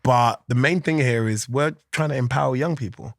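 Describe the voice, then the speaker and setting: Guest: man, animated, loose and chatty, close dry mic. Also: medium pitch, normal speed, vocal fry, no echo. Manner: animated, chatty, laughs often, many fillers. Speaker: podcast guest, organisation founder. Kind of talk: casual interview chat.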